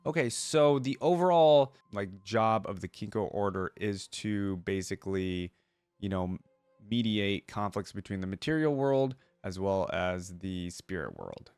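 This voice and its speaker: A man's voice: lowish voice, animated, unhurried, a little mellow, smooth, no room sound, soft background music. A man hosting a reaction video, mixing casual commentary with reading aloud and thinking things through as he goes.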